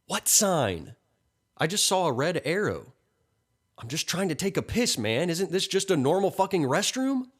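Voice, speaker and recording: A man's voice: lowish voice, expressive, measured pace. A man voicing a nervous, awkward, blunt young man in a narrated story. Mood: panicked, exasperated.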